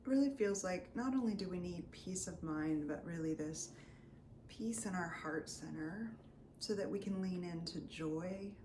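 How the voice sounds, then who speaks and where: A woman leading a guided meditation as a Reiki healer, soothing and unscripted, often drifting into breathy near-whispers. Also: fairly low pitch, normal speed. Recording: roomy echo, faint background noise.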